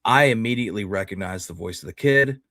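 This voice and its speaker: Low-voiced man discussing history, close dry sound. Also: quick pace, creaky, fairly bright tone, no echo. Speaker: history show host.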